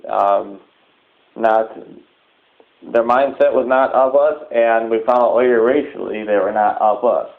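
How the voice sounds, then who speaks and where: A man delivering a formal, deliberate public announcement as the leader of an organization. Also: medium pitch, steady tone, gravelly. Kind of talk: public address, semi-scripted announcement. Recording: narrow, phone-like sound.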